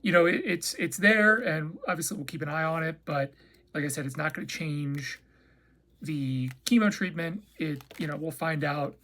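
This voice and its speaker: Raspy-voiced man chatting casually. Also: fairly high pitch, moderate pace, pushed and bright. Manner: rambling, hesitant, lots of fillers and restarts. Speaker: vlogger. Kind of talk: casual personal update chat.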